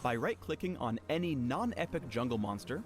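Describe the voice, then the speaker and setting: Male guide narrator, animated and wry, scripted delivery over background music. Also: medium pitch, moderate pace. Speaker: narrator of a champion guide video. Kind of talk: scripted upbeat explainer narration.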